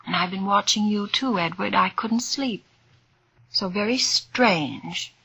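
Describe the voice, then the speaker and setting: An actress playing a proud, scheming, frightened fake medium in an intense, emphatic old-time radio suspense style. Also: female voice, mid-range voice, slow pace, vocal fry, pushed and bright, slightly rough, exaggerated voice, low-quality recording. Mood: guarded, soothing. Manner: intense, melodramatic, emphatic repetition. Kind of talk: 1940s-50s radio suspense drama acting. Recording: old radio recording with music.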